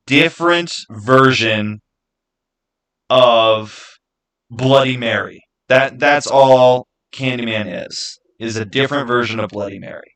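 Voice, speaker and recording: A man with a bright, forceful voice at an average pitch hosts a casual livestream. He talks informally and with plenty of opinion, halting and circling back over his own phrases as he responds to viewers. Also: low-quality recording. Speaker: livestream host. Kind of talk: casual livestream chat answering viewer comments.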